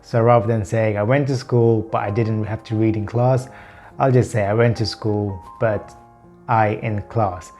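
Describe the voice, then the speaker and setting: A man's voice: lowish voice, steady tone, measured pace, a little mellow, faint music behind. A man in his thirties talking to camera in a personal vlog, earnest and open, stammering with repeated words and blocks left unedited. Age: 30s. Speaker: vlogger.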